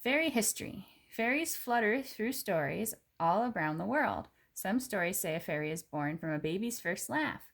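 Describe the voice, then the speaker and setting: A woman reading a children's picture book aloud in an expressive, clearly phrased way, with casual asides. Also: mid-range voice, moderate pace, few pauses.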